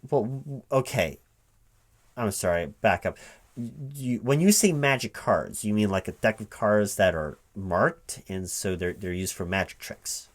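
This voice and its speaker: A man speaking aloud in a one-sided ASMR job interview roleplay as a recruiting manager, halting and repeating himself as if puzzled. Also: fairly low pitch. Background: computer keyboard typing.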